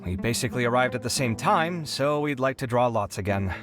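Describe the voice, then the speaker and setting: A man's voice: mid-range voice, fairly quick, over music, lightly acted. A man voicing an earnest, dramatic young genius, kind-hearted and a bit defensive, in an anime-style video game. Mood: earnest, weary. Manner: expressive, emphatic.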